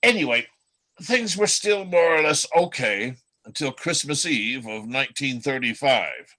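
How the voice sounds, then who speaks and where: A man playing a bright-voiced, chatty old-time storytelling reindeer. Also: mid-range voice, lively intonation, measured pace. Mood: amused storytelling. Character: chatty, world-weary male reindeer telling old North Pole gossip. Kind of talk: radio play reading by amateur readers.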